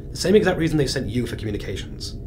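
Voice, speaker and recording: An actor playing a calm, firm astronaut boss who keeps the peace in a naturalistic audio drama. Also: male voice, rapid-fire, lightly acted. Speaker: crew leader. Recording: clear rumbling noise behind.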